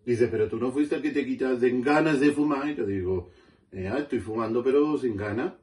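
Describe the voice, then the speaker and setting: A man playing a cheeky immigrant man who speaks in broken grammar and tells deadpan one-liners. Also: medium pitch, fairly quick, distant mic, pitched below the performer's own voice, close to an everyday voice. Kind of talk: stand-up character comedy.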